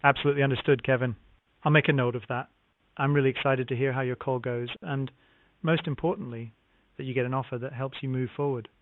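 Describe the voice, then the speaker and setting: A man handles a scripted property sales call at a brisk clip. He is courteous and formulaic, acknowledging before each question. The sound is a thin telephone line with very little room in it. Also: mid-range voice, fairly even intonation, no echo. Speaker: real estate acquisitions phone agent. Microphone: phone call. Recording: narrow, tinny phone line.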